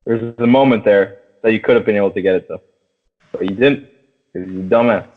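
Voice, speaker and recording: A man's voice: on the low side, fairly quick. A young man co-hosting a podcast, chatting casually about film with enthusiastic, slangy, profane talk. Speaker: podcast co-host. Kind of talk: casual film discussion among friends. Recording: muffled phone-like radio sound, long reverb.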